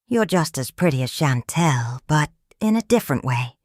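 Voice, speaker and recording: A woman voicing a kind, caring sister hen in a children's storybook, gentle and earnest. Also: deep voice, very animated, normal speed, no echo, clean studio sound. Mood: gently reassuring. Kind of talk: storybook character reading.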